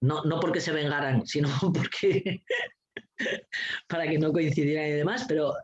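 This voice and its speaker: Mujer sarcástica y directa, grabación apagada. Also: female voice, sits low, moderate pace. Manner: blunt, sarcastic, swears freely, laughs often. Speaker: guest speaker, teacher trainer. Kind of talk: lively anecdotal talk. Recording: dull lo-fi recording.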